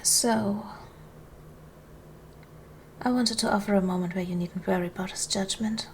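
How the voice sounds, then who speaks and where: A woman playing a gracious, commanding duchess in an ASMR roleplay, speaking in a formal, measured, soothing way to a servant she protects. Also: mid-range voice, steady tone, a little hiss or hum, lightly acted. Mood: gentle, reassuring. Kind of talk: ASMR roleplay, soft formal period speech.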